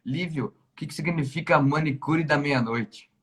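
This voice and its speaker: A Brazilian man hosting a live-stream interview over a video call, asking relaxed questions and giving short replies. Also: on the high side, normal speed, heavy background noise. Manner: relaxed, brief backchannel replies and easy questions. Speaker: interviewer and host.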